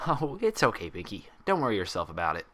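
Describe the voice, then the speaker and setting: A woman's voice: very deep voice, big pitch swings, fast talker, fairly bright tone, full character voice. A woman as an anxious, polite young unicorn. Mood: awkward, nervous. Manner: hesitant, careful.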